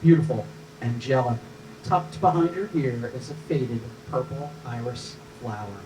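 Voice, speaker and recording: A man's voice: mid-range voice, a little slow, music in the background. A man reading screenplay stage directions as scripted narration at a live table read, picked up by a distant microphone.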